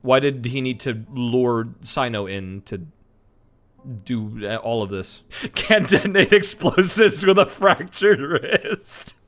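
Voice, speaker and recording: A man's voice: medium pitch, animated, slow, a bit bright. A man doing casual, joking game commentary as a streamer, sweary and mock-dramatic.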